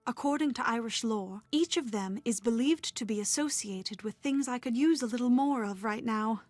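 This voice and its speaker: A woman's voice: a little high, moderate pace, a little mellow, dry recording, natural voice. A woman playing a poised, exacting young bride-to-be in a video game, speaking formally and precisely. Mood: composed, prudent. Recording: music behind dialogue.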